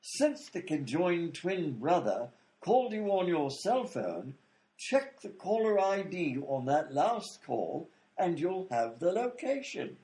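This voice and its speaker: A fussy, long-winded inventor doctor, played by a man, explains everything at length in a comedy radio play. His fairly high voice leaps around in a very animated way. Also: takes it slowly. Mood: explaining. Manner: explains at length with fussy fillers like "you see".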